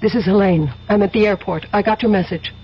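An actress playing a self-possessed, scheming young woman with measured, evasive speech in a 1970s radio drama. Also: mid-range voice, natural voice. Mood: uneasy. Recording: noisy, muffled old radio recording.

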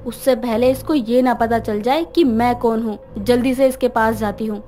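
A woman voicing a sly, scheming female villain with a dramatic, conspiratorial delivery in an animated story. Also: on the high side, normal speed, faint music behind, natural voice. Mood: fearful, scheming.

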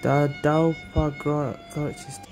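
Low-voiced woman gamer thinking aloud over music. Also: wildly swooping pitch, slow pace. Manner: thinks aloud in short reactive phrases. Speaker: video game streamer. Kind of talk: casual gameplay commentary. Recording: game music behind the voice.

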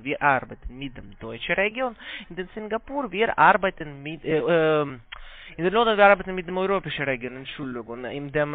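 Male phone agent, higher-pitched, foreign accent, halting broken grammar, evasive, thin telephone sound. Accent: foreign accent in German. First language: not German. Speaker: call-centre scammer posing as trading platform agent. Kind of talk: phone sales conversation. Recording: muffled tinny phone line.

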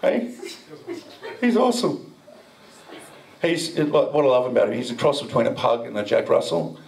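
A man's voice: medium pitch, sing-song, normal speed, gravelly, a little mellow. Cheeky man on a handheld mic. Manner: cheeky, self-mocking, banters with the audience. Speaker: presenter at a blockchain meetup. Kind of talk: joking conference talk. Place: dim event room with a projector screen.

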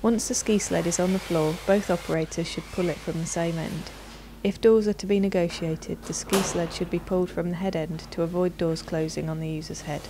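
A woman reads scripted instructional narration in a measured, formal, clearly enunciated manner. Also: on the low side, steady tone, background noise. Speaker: narrator of a product training video.

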